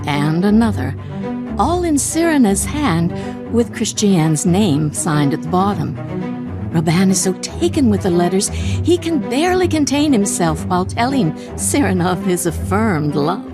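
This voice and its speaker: A woman's voice: mid-range voice, sing-song, measured pace. A woman acting as the storytelling narrator of a romantic period radio play, reading expressively. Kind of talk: scripted dramatic narration. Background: cello and string music.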